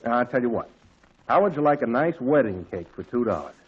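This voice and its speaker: A man's voice: medium pitch, big pitch swings, brisk, slight background noise, close to an everyday voice. A man doing a folksy old caterer. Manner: folksy, drawling, bargaining. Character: kindly older male shopkeeper and caterer, folksy. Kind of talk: 1940s radio acting. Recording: dull lo-fi old radio recording.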